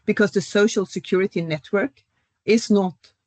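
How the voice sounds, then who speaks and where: Woman, measured academic explainer. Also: mid-range voice, no echo. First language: Swedish. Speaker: professor, panel guest. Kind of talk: academic panel discussion.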